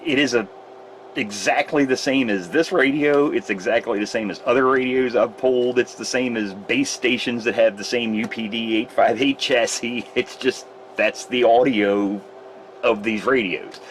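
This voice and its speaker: A man's voice: mid-range voice, steady tone, moderate pace, slight background noise, poor audio. A man demonstrating radio repair in a casual, rambling way, often correcting himself mid-sentence. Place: repair shop workbench.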